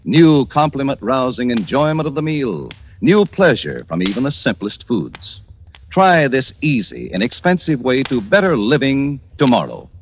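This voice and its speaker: A man's voice: mid-range voice, big pitch swings, normal speed. A man reading a 1940s radio advertisement in a polished, emphatic announcer's style. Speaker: commercial announcer. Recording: hissy old radio recording.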